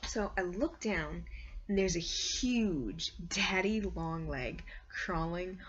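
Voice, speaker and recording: Woman vlogger, lower-pitched, rambling casual chat, animated delivery, muffled lo-fi home recording. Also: takes it slowly, breathy edge. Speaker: vlogger and hobby story writer.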